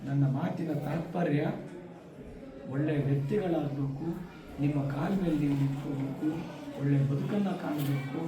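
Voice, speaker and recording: Guy w north karnataka kannada accent, highish voice, urging students on in short emphatic phrases, super echoey and far from mic. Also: steady tone, a crowd in the background. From Karnataka, India. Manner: emphatic, exhorting, speaking in short deliberate phrases. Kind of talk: motivational speech to students. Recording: echoey hall, distant microphone, audience noise.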